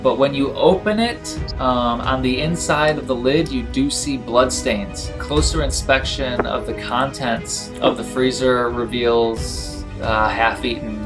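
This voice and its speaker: A man's voice: medium pitch, a little slow, hardly any pauses, over music. A man narrating a tabletop role-playing game as game master in a conversational storytelling way.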